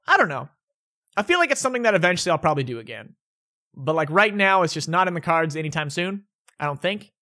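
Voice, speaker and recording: Bright, rambling young man vlogging. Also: on the high side, sing-song, fast. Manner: rambling, self-correcting, laid-back. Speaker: YouTuber answering viewer questions. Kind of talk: casual vlog Q&A. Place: indoors at home.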